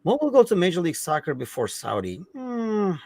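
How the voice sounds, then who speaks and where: A man's voice: sits high, huge pitch swings, a little fast, creaky, a crowd in the background. A man hosting a live football podcast, talking casually with lots of fillers and frequent laughs. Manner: rambling, many fillers, laughs often. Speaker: podcast host.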